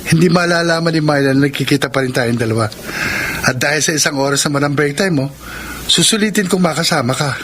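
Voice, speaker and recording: A persistent young delivery man courting his sweetheart in a radio drama, acted by a man. His voice is fairly high for a male, breezy and coaxing, with faint noise behind it. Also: fast talker, a bit bright, natural voice. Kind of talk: Filipino radio drama acting.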